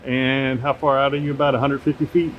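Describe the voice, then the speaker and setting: A man's voice: mid-range voice, a little fast, lo-fi sound. Man casually narrating over outdoor noise. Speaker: drone tester and video host. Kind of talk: casual field-test narration. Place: outdoors under an open shelter at an airfield. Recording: noisy outdoor camera audio, steady ambient hum.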